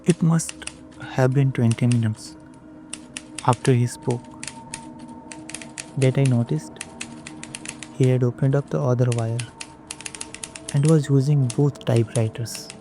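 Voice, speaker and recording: An actor playing an uneasy, watchful night manager in an audio drama, with measured, brooding delivery. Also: male voice, mid-range voice, steady tone, mellow, faint music behind, close to an everyday voice. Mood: reflective. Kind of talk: audio drama narration and dialogue.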